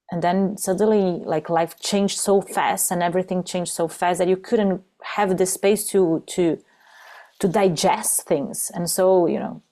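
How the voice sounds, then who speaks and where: A woman whose first language is Portuguese, speaking English with a light accent over a video call. Her voice sits low and mellow. She restarts her sentences often and pauses on fillers as she searches for words. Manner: halting, many restarts and fillers. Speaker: trainer for mothers. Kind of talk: casual video-call interview.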